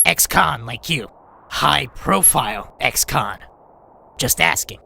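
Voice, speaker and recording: A man playing a grumpy, sardonic veteran robot medic in an audio drama, with a gravelly voice and a dry, blunt manner. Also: medium pitch, lively intonation, takes it slowly, bright, forceful tone, heavily acted. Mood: needling. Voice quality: gravelly, creaky.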